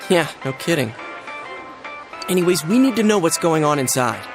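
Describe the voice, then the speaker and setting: Lively, earnest teenage schoolboy. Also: male voice, a little high, normal speed. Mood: earnest, casual. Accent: American. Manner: casual, expressive, earnest. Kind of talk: English video game voice acting. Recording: background music and crowd chatter behind.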